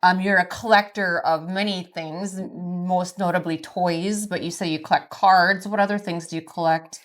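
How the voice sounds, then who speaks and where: A woman's voice: fairly low pitch, steady tone, moderate pace. A middle-aged woman with an American accent, interviewing a guest casually on a podcast.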